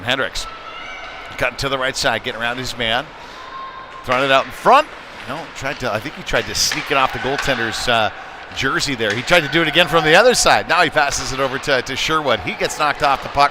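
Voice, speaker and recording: Male sports commentator, mid-range voice, conversational live hockey call over clear arena noise. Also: medium pitch. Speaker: play-by-play commentator. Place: indoor youth hockey arena. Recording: noisy arena with clattering ambience.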